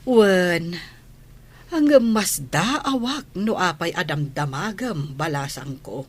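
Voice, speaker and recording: An actress voicing a kindly older former nanny in a radio comedy drama, with an Ilocano Filipino accent. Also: female voice, lowish voice, expressive, slow pace, husky, exaggerated voice. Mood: fond, puzzled.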